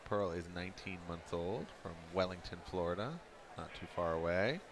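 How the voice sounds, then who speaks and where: A very deep-voiced man calls a live dog show, relaxed and quick to poke fun at himself. Steady crowd noise runs under his broadcast commentary. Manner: relaxed, self-deprecating joking.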